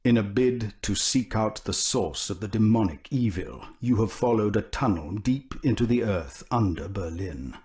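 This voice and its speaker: An actor voicing a grim announcer for a war-horror video game, reading character profiles and mission briefings in a slow, grave, ominous manner. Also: male voice, lowish voice, expressive, darkish tone, breathy edge. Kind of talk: scripted game narration.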